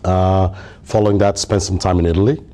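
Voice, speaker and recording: This is a deep, low-pitched man from Somalia whose first language is Somali. His tone is dark and mellow. He speaks as a podcast guest in relaxed chat, often pausing on fillers and breaking into laughs. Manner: hesitant, many fillers, laughs easily. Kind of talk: casual interview conversation.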